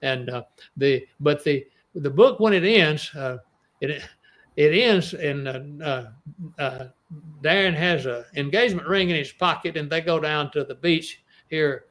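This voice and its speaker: A man's voice: fairly high pitch, unhurried, fairly bright tone. A man in his eighties with an East Texas accent, an author telling stories in an interview, rambling with many restarts. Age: 80s. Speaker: author guest. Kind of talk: casual interview storytelling.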